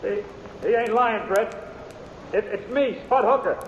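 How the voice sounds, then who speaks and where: An actor playing a frightened young cowhand in a radio western, who stammers and pleads. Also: male voice, sits high, unhurried, muffled recording. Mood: pleading. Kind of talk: 1950s radio western acting. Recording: echoey, hissy old radio recording.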